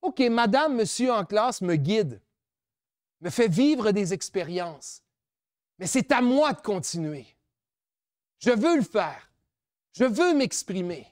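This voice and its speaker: A middle-aged man with a New Brunswick French accent delivers an expressive motivational talk, tossing out rhetorical questions and slipping into English. His voice sits very high and he takes his time. The sound is smooth, close and dry. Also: takes it slowly, long pauses, no room sound. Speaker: keynote speaker on French-language education. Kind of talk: animated motivational speech to an audience.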